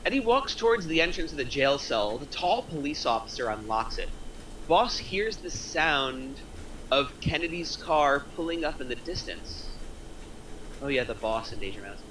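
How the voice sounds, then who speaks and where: A man's voice: a little high, sing-song, normal speed, fairly bright tone, slight background noise, rough recording. A man reading stage directions as the narrator at a script table read.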